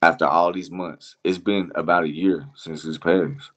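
A man being interviewed as a music producer, talking casually and reflectively in a halting, slangy way, often repeating phrases. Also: sits low, fairly even intonation, highs cut off.

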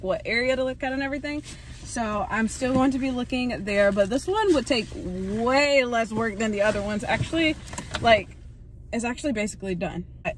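A woman's voice: fairly high pitch, a bit bright. A woman in her twenties talking casually to the camera from a parked car, upbeat and chatty. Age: 20s. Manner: chatty, upbeat, quick asides. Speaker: vlogger. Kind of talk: casual vlog talk to camera. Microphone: camera on the dashboard. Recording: noisy car interior.

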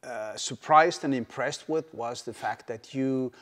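A male interview host asking gentle questions with stammered starts, seated in a winery barrel room. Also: mid-range voice, measured pace. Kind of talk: conversational interview. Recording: long hall reverb.

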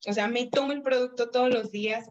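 Late-thirties female trainer, talkative and personal, slightly high voice, dull lo-fi video-call audio. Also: steady tone, brisk. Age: 30s. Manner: chatty, asks and answers her own questions. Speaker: network marketing trainer. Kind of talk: motivational training talk over video call.

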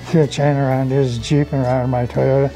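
A man's voice: medium pitch, level tone, brisk, mellow, soft background music, low-quality recording. An American man in his seventies reminiscing casually in a folksy storytelling way, often adding "you know". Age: 70s. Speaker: off-road enthusiast recounting memories. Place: outdoors on a driveway by an open garage.

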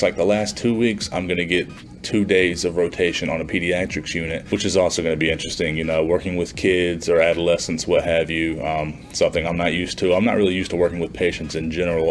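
A man's voice: low-pitched, slight background noise. A man in his twenties talking informally and conversationally to camera, like a student vlogger in a small room. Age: 20s. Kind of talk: casual vlog talk to camera. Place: small plain indoor room.

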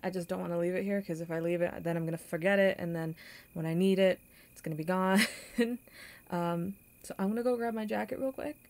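A young woman playing a kind, awkward popular girl in an audio roleplay, with halting, stammering, flustered delivery. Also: fairly low pitch, steady tone, a little mellow, natural voice. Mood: shy, caring. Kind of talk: intimate audio roleplay acting.

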